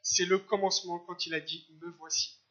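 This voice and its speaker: A man from Algeria preaching a church sermon in short phrases, pausing for an interpreter. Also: sits high, level tone, bright, forceful tone, dull audio. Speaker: guest preacher. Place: church service.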